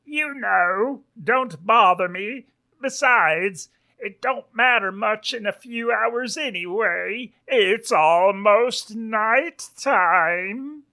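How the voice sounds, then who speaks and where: Man doing a raised-pitch, smug western pig sheriff. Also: gravelly, bright, forceful tone, full character voice. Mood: dismissive. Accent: American. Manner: slow, folksy lawman drawl, condescending. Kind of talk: western storybook character voice.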